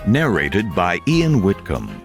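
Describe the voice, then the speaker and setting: A man delivers a dramatic promo announcement with portentous weight. His voice is fairly low and his pace slow, with music clearly playing behind. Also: huge pitch swings. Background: music score.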